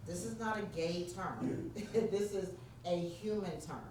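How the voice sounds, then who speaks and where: A deep-voiced woman with a New York accent leads an interactive workshop and keeps calling on her audience. Her voice is picked up from well off the mic. It rings through a very reverberant meeting room over a faint background hiss. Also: unhurried, a little mellow. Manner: engaging, prompts the audience directly. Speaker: workshop presenter. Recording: very echoey room, distant mic, faint noise.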